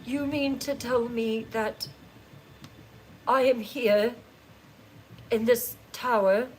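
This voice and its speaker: A woman's voice: on the high side, steady tone, takes it slowly, darkish tone, slight background noise, low-quality recording. A woman voicing an anguished teenage princess locked in a tower, crying out in bitter protest. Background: music.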